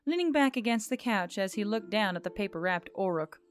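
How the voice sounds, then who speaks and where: A woman's voice: medium pitch, lively intonation, fairly quick. A woman reading scripted fantasy fiction as an audiobook narrator. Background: background music.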